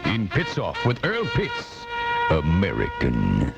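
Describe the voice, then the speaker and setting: A man's voice: low-pitched, huge pitch swings, takes it slowly, gravelly. A man announcing a radio segment in a dramatic scripted intro style. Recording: dull off-air cassette, loud music behind.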